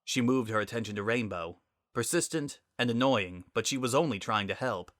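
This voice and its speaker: A man's voice: medium pitch, moderate pace, a bit bright, dry recording, clean studio sound. A man narrating a scripted story as a dramatic reading.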